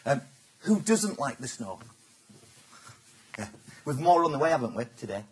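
A man's voice: mid-range voice, sing-song, moderate pace. A man giving a business talk to a live audience, chatty and teasing, throwing questions at the crowd. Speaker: presenter.

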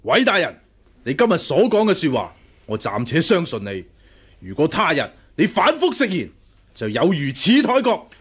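Husky, suspicious warrior brother. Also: male voice, on the high side, huge pitch swings, normal speed, fairly bright tone, heavily acted. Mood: wary, stern. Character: gruff sworn elder brother, suspicious martial-world warrior. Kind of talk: Cantonese radio drama acting.

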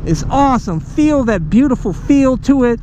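Excitable high-voiced biker over wind noise. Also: male voice, normal speed. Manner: excitable, hollering, slangy. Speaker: motovlogger. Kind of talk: casual motovlog chat while riding. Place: outdoors on a motorcycle on the road. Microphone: helmet microphone. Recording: wind and engine noise behind.

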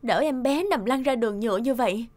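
A woman playing a sharp-tongued but caring young mother who minds a repair shop, in an expressive animated cartoon dub. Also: a little high, fairly quick, lightly acted. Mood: worried, grateful. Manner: expressive, scolding or fussing. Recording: music behind the voice.

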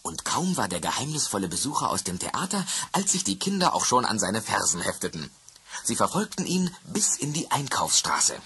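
A man narrating a children's radio play in a measured storytelling manner. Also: on the low side, very animated, bright, forceful tone, slight background noise, heavily acted.

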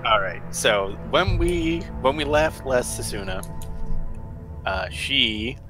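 A man's voice: medium pitch, takes it slowly. A man co-hosting a game stream, casually recapping the story and correcting himself as he goes. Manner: relaxed recapping, self-correcting. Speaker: co-host. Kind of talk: casual let's-play chat. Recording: game music behind.

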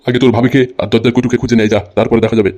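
A man's voice: medium pitch, flat delivery, very fast, darkish tone, lightly acted. A man playing a bossy, foul-mouthed crime boss and politician, scolding and commanding in a comic cartoon dub.

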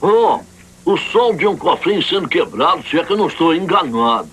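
A man's voice: fairly high pitch, big pitch swings, fairly quick, fairly bright tone, slightly rough, exaggerated voice. A man voicing a pushy, wisecracking furry alien in a cartoon dub, with a wry, insistent manner.

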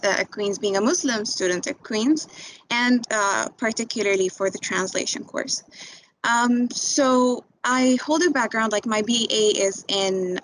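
A woman's voice: a little high, low-quality recording. Young woman from Tunisia, recent grad, hesitant chatty delivery, faint hiss on a video call. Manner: hesitant, many filler words and restarts. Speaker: graduate speaking to prospective students. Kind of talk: informal webinar talk.

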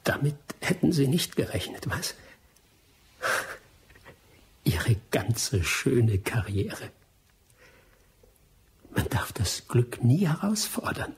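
A man's voice: mid-range voice, big pitch swings, takes it slowly, slightly rough, in a whisper, heavily acted. An actor playing a cornered, bitter and fatalistic male adventurer in a radio drama. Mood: bitter, fading. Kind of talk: German radio play acting.

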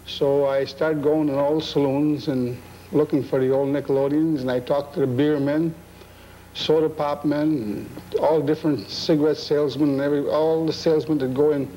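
A man's voice: on the high side, level tone, moderate pace, dark tone, a little hiss or hum, low-quality recording. A man in his sixties chatting casually in an interview, folksy and plainspoken. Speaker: antique machine collector being interviewed. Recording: old videotape with music behind.

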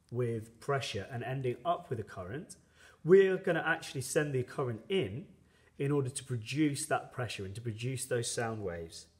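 Man teaching with very lively intonation. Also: mid-range voice. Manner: instructional, step-by-step. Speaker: science teacher. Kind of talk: explanatory tutorial narration.

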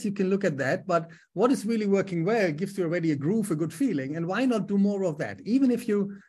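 A man's voice: high-pitched, darkish tone, slightly rough. A middle-aged man with a German accent, a business coach speaking on a video call from home in an upbeat, talkative way. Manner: upbeat, talkative, frequent ums. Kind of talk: casual interview on a video call. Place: home room with a lamp.

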